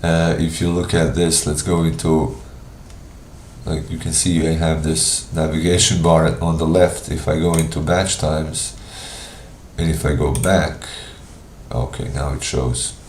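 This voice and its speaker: Stuffy-nosed man with a deep voice. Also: little pitch movement, darkish tone, roomy sound, a little hiss or hum. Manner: casual, thinking aloud with pauses. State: congested from a cold. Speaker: software trainer. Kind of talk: live screen-share walkthrough.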